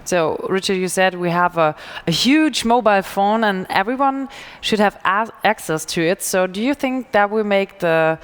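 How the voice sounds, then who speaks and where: A woman moderating a panel discussion, putting questions in an informal, halting way. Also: medium pitch, expressive, smooth, big-room sound.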